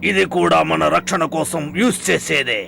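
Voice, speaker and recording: A man's voice: fairly high pitch, moderate pace, vocal fry, bright, forceful tone, a little hiss or hum, exaggerated voice. A man playing a wary mentor who cautions and advises insistently in a dubbed cartoon. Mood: earnest warning.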